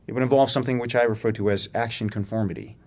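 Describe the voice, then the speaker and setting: An actor playing a wry, probing academic research professor in a radio drama, with a dryly sardonic, precise way of talking. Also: male voice, medium pitch, very fast, darkish tone, close to an everyday voice. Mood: serious, exacting. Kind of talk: radio theatre acting, dramatic dialogue.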